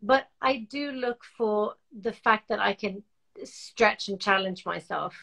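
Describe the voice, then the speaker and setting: Bright-toned bilingual woman actor guest. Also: mid-range voice, slow, vocal fry. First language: Norwegian. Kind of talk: casual video-call interview. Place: home room. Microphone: video call webcam.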